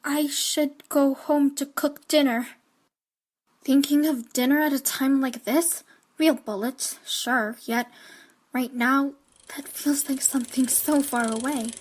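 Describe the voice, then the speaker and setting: A woman's voice: high voice, steady tone. A woman playing an anxious, fragile schoolgirl in an audio drama, speaking hesitantly and narrating her own story. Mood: subdued, reflective. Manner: hesitant, halting. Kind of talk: anime-style audio drama acting.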